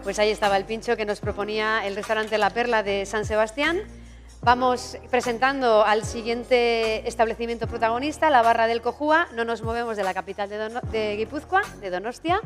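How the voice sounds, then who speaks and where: A woman's voice: fairly high pitch, brisk, in a large hall, soft background music. A woman hosting a live cooking contest, upbeat and chatty, slipping in phrases. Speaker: contest presenter. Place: auditorium stage.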